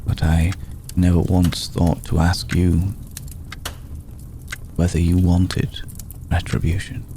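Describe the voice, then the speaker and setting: A man voicing an ancient, courtly, protective vampire lord in a hushed ASMR roleplay, speaking formally and with deliberate pauses. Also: deep voice, monotone, slight background noise. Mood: remorseful, intense. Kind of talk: ASMR roleplay, slow intimate scripted monologue.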